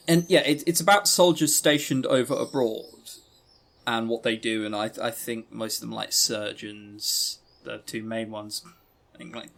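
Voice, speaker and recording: A man's voice: mid-range voice, fairly bright tone. A man co-hosting a podcast, giving a casual review in a rambling, informal and blunt way. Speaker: podcast co-host.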